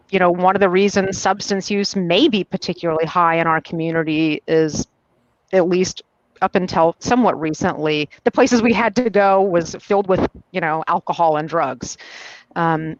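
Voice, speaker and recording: A woman's voice: fairly low pitch, dry recording, dull audio. A woman in her forties from the Midwest, speaking earnestly and reflectively as a panelist in a casual discussion. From American Midwest.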